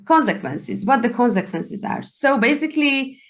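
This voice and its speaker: A woman's voice: on the high side, very animated, moderate pace. Woman searching for her words. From Hungary. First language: Hungarian. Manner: hesitant, searches for words, apologetic. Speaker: podcast co-host. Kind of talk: casual chat.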